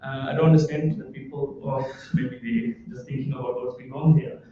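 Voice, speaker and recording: A man with a Pashto accent giving a nervous, informal talk in broken English, pausing often on fillers. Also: mid-range voice, steady tone. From Pakistan. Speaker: guest speaker. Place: large hall. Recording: echoey hall, distant, dull lo-fi.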